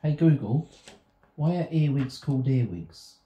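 A man's voice: medium pitch, lively intonation, a little slow, dull audio. A father joking around and teasing his daughter during a bedtime read-aloud at home. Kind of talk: casual banter with his daughter. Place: bedroom, on a bed. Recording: echoey room.

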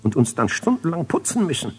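An older man voicing an indignant brown hen from a children's storybook, scolding in a hurried, fussy way. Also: fairly high pitch, very animated, husky, voice pushed up, full character voice. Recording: reverberant old cassette transfer.

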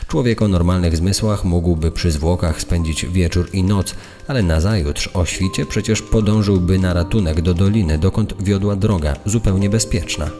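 A man playing an indignant journalist, reading an old press article in a formal, measured, accusatory manner. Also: low-pitched, warm tone, soft background music, natural voice. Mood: suspicious. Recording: long hall-like reverb.

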